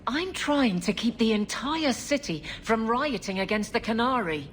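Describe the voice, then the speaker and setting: A woman playing a stern, dutiful female city guard captain in a video game, speaking in an earnest, firm, measured way. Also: on the high side, expressive, slight background noise, lightly acted. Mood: exasperated.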